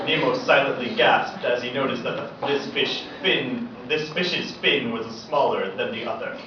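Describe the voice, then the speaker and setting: A man's voice: mid-range voice, moderate pace. A man reading a comic story aloud at a convention panel, dramatically and with mock seriousness. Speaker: fan fiction reader at a convention panel. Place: large indoor convention room. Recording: echoey hall, distant, dull, loud crowd noise. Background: loud audience noise.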